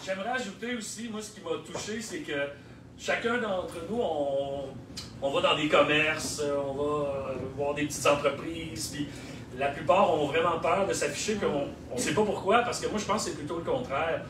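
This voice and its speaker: A man's voice: a little high, steady tone, moderate pace. Hesitant Québécois man at an event. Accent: Québécois French. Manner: hesitant, lots of fillers. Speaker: event organizer and filmmaker. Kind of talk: speech at a local launch event. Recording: echoey room, heard from a distance, noisy.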